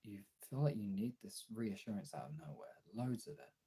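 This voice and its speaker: A man with a gravelly, husky voice in a casual, personal chat to viewers, halting and candid, swearing often. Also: on the low side, very animated, mellow. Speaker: streamer.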